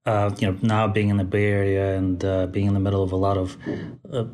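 A man in his forties, born in Moscow and raised in Central Asia, speaks thoughtfully as a podcast guest, pausing often to find his words. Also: low voice, completely flat delivery, a little mellow. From born in Moscow, raised in Tajikistan. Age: 40s. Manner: thoughtful, many fillers and restarts. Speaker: guest, company founder. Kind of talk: podcast interview. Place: Bay Area.

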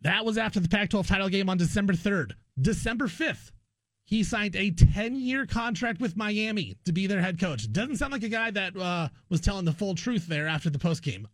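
A man's voice: sits high, lively intonation, normal speed, a bit bright, no echo. A man hosting a sports talk show, delivering an emphatic, argumentative opinion monologue and repeating phrases for effect. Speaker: sports radio host.

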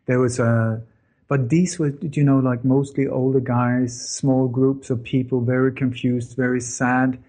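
Husky man. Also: medium pitch, little pitch movement, measured pace, warm tone. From born in Denmark, grew up in Sweden. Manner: earnest, with frequent fillers and self-corrections. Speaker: interview guest, former journalist. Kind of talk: casual interview storytelling.

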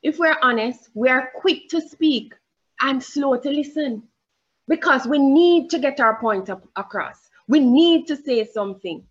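A woman with a Jamaican accent preaching a sermon in church, deliberate and emphatic, rising on her questions and pausing with fillers as she searches for words. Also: sits high, expressive, muffled recording.

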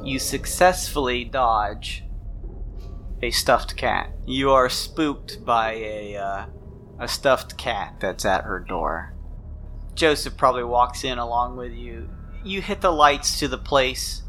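A man's voice: mid-range voice, slow pace, bright, forceful tone, a little hiss or hum. A man narrating a tabletop role-playing game as game master, improvising scenes in the second person.

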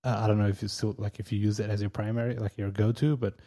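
Mellow-voiced man, halting. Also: lowish voice, level tone. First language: Portuguese. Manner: hesitant, full of fillers, chuckles often. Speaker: podcast co-host. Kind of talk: casual podcast chat.

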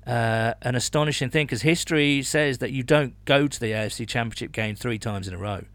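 A man's voice: mid-range voice, fairly quick, a bit bright, no room sound. British man chatting sport, very dry sound. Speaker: podcast host. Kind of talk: casual sports chat.

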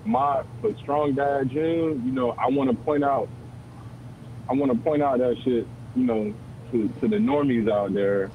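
A male caller on a call-in show, talking earnestly in a rambling way with many fillers. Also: medium pitch. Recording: dull phone line with faint noise.

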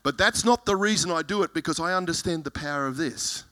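Male pastor, loud voice, fervent sermon delivery, high pitched and piercingly bright. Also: fast talker. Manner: fervent preaching, reading scripture verses aloud.

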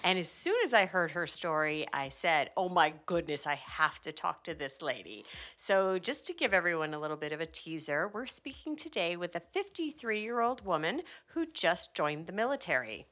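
A woman's voice: fairly low pitch, big pitch swings, moderate pace, fairly bright tone. A woman hosting a podcast interview, chatting with enthusiasm and laughing often. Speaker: podcast host. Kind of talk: casual interview chat.

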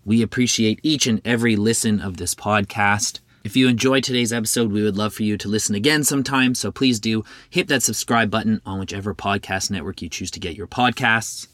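A man hosting his own podcast, a little hoarse from a cold, reading a friendly, chatty intro with the odd filler word. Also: fairly low pitch, fairly bright tone, no echo. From Canada. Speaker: podcast host. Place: home kitchen.

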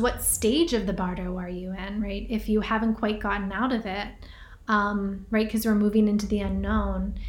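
A woman's voice: mid-range voice, a little hiss or hum. Rambling woman podcaster in an echoey room. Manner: gentle, rambling, many "right?" and "you know" asides. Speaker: podcast host and workshop leader. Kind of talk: reflective casual talk to listeners. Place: staying in a rented home.

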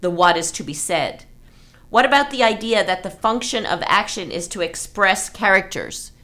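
A woman's voice: medium pitch, expressive, moderate pace, bright, forceful tone. A woman hosting a literary podcast, effusive and thinking aloud as she discusses writing. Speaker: podcast host, writer.

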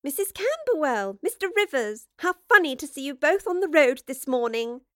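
Woman doing a nasal, tittering gossip of a young lady, pitched high. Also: big pitch swings, moderate pace, sharp tone, no echo, clean studio sound, full character voice. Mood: gushing. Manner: tittering, prying.

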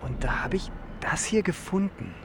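A man acting an eager, excitable young dinosaur enthusiast in a youth radio play, explaining things dramatically. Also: high voice, moderate pace, a little hiss or hum. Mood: excited. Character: eager, excitable young male dinosaur fan named Michel. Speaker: actor in an educational audio drama.

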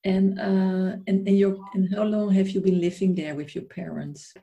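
A woman hosts an interview podcast in a relaxed, conversational tone. Her voice is mellow and dark, at a middling pitch. She sounds openly admiring of her guest and often restarts her sentences. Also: moderate pace, highs cut off. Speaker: podcast host.

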